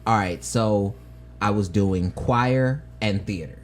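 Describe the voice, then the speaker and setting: A man's voice: fairly low pitch, takes it slowly, soft background music. A man co-hosting a podcast, telling rambling stories in casual chat. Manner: rambling storyteller, trails off and restarts. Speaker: podcast co-host.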